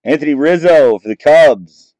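A man in casual commentary, reading out cards as he opens packs at a table, relaxed and chatty, picked up by a camera mic. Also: fairly high pitch, normal speed, no room sound, highs cut off. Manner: relaxed, chatty, reading out names and teams as he goes. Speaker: card break host. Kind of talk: casual commentary while opening trading card packs. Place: small room at a table, off camera.

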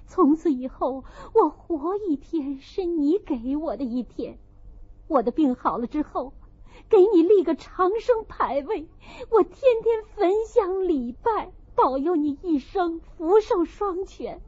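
A woman's voice: extremely high pitch, animated, takes it slowly, darkish tone, muffled recording, pitched above the performer's own voice, heavily acted. A woman voicing a sick, distraught and grateful young maidservant in an audiobook. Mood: pleading, grateful.

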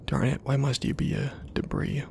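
A man giving ASMR gameplay commentary in a soft half-whisper, musing casually to himself in a hushed way. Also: normal speed, a little hiss or hum. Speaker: video game streamer.

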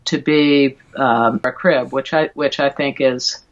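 Low-pitched woman on a dull call. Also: fairly even intonation. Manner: halting, thoughtful explaining. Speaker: guest, verse translator and classicist. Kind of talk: conversational interview explanation. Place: indoor room at home, video call. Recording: dull, lo-fi video call audio.

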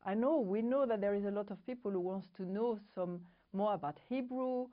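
A middle-aged woman from France speaks English with a clear French accent, pausing to hunt for the right word. She presents to camera in a studio on a lapel mic, and the sound is a bit dull and lo-fi. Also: mid-range voice. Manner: halting, searching for English words. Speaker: co-host. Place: studio set with bookshelves.

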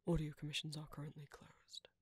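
A man who makes ASMR audio, reading a scripted channel outro in a soft-spoken way. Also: on the high side, monotone, fairly quick, husky, no echo. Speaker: ASMR voice actor and creator.

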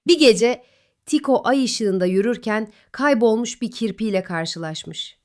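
A woman narrating a children's bedtime story in a gentle, melodic manner. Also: a little high, measured pace.

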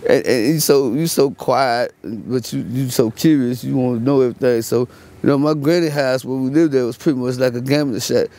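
A man in his late thirties from Fort Worth with a Texan accent, talking in a relaxed, rambling way outdoors at dusk. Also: mid-range voice, a little fast. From Fort Worth, Texas. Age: late 30s. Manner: laid-back rambling, frequent "you know what I'm saying". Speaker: interviewee. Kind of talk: casual street interview. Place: outdoors at dusk in a residential yard. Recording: faint outdoor street noise.

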